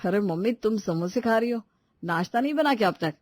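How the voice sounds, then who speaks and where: A grumbling son and husband in a family tale , played by a man. His voice is unusually high for a man, and he complains. The recording is dry. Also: lively intonation, a little fast, dry recording. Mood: casual. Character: grumbling adult son and husband in household story.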